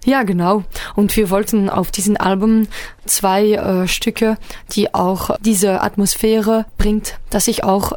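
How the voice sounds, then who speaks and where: A woman whose first language is French, a singer-songwriter answering interview questions about her music in careful phrasing with many pauses and fillers. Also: medium pitch, flat delivery, a little mellow, dry recording. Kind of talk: radio interview.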